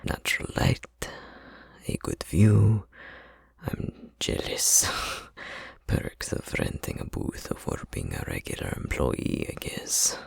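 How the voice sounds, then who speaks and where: A man playing an easygoing, chatty tattoo studio employee in an ASMR roleplay, talking in a breathy, husky, casual, rambling way. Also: extremely low pitch, wildly swooping pitch, takes it slowly, no room sound, full character voice. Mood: friendly, easygoing.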